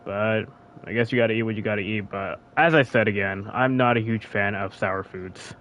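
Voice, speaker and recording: A man's voice: fairly low pitch, normal speed, a bit bright, a little hiss or hum. A man giving casual gameplay commentary, rambling and thinking aloud as he plays. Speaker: gaming video creator. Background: game music.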